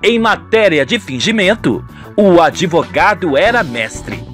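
High-voiced Brazilian man narrating a soap. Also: big pitch swings, unhurried, fairly bright tone, soft background music. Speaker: narrator and performer of a radio soap. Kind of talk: dramatic scripted narration.

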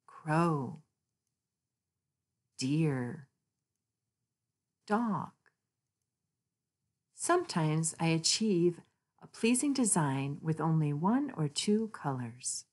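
A woman reading a children's picture book aloud, naming things deliberately with sing-song emphasis. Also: low voice. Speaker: read-aloud channel host.

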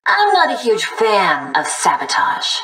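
A woman's voice: medium pitch, wildly swooping pitch, a little slow, sharp tone, reverberant, far from the mic, heavily acted, rough recording. A woman playing a confident young criminal artiste in a video game, cheeky, cool and playful. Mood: earnest, cool.

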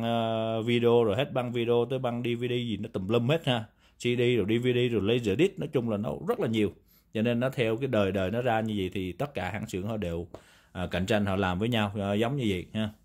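A man with a Southern Vietnamese accent giving a casual, unscripted review as a video host, explaining in a rambling, conversational way with repeated confirming tags. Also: on the low side. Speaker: video host reviewing vintage audio gear. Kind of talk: casual, unscripted product talk. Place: indoor room, demonstrating equipment on a table.

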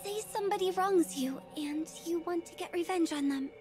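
A shy, soft-spoken young woman as a female reader acts her out from a visual novel. Her voice is very high and girlish, hesitant and secretive, with music plainly playing behind her. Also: moderate pace. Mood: secretive, ominous. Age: young adult. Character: shy bespectacled young woman, timid but ominously insistent. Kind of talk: visual novel character reading. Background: background music.

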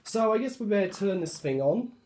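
A man with a hoarse voice from a cold, casually showing off a light fitting he refurbished, talking hesitantly with many ums and restarts, recorded on a handheld phone indoors. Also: high-pitched, lively intonation, fairly quick, a little mellow, slight background noise. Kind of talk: casual show-and-tell narration.

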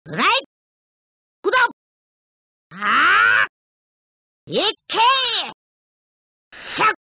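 A brave, fierce martial-arts hero from a fighting game, pitched very high and swinging wildly. The words come slowly, in a rustic Japanese dialect between battle cries. The clip sounds thin and phone-like. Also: female voice, wildly swooping pitch, dry recording, full character voice. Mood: battle fervour. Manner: shouted battle cries and short exclamations. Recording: tinny, phone-like game voice clips.

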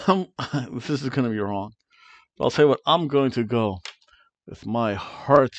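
A man's voice: lowish voice, no room sound. A middle-aged man hosting a wrestling review show, chatting casual predictions to camera from a small home room on a headset mic, playful and rolling his R's on names. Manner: playful, rolls R's on wrestler names, hums dramatic tunes. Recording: dull lo-fi dry sound.

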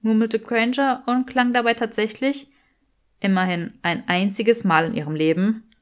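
A woman's voice: a little high, fairly even intonation, moderate pace. A woman reading scripted fiction as an audiobook narrator.

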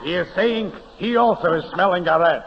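A man's voice: high-pitched, normal speed, gravelly. A man playing a brash, touchy Russian immigrant in broken English, with a mock Russian accent, in 1930s radio comedy. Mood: self-important. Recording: muffled, echoing 1930s radio recording with hiss.

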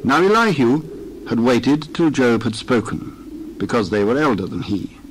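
A man narrates a dramatized scripture reading. His voice sits at an average pitch and he tells the story in measured, formal phrases. There is slight background noise. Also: sing-song, low-quality recording.

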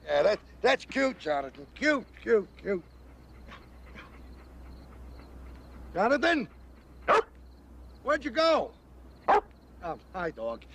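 Exasperated, sarcastic, high-voiced American man. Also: sing-song, slow pace, creaky, noisy background, full character voice. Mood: searching, puzzled. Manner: sarcastic, griping, talkative. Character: exasperated sarcastic human partner of an angel. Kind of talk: television drama acting.

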